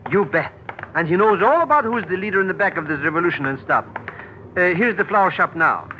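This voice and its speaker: An actor playing an excitable, bumbling comic sidekick who talks in colloquial mangled idioms in a 1940s radio drama. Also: male voice, sits high, a little fast. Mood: boastful. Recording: muffled, noisy old radio recording.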